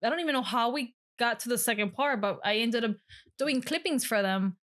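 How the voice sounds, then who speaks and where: A woman's voice: fairly high pitch, fairly quick, fairly bright tone. A woman in her twenties, a podcast guest, telling stories in casual chat with many fillers. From grew up in Hialeah, Florida. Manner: chatty storyteller with many fillers.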